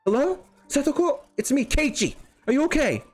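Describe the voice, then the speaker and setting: A man voicing an eager teenage boy who speaks in an excited, rushed way. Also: extremely high pitch, soft background music, voice pushed up. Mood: urgent.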